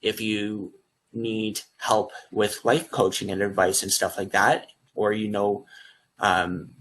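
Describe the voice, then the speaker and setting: A husky, low-voiced young man speaks earnestly as a guest over a video call. He rambles and restarts his sentences while urging people to reach out for support. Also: a bit bright, poor audio. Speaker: guest, life coach. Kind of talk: earnest promotional talk.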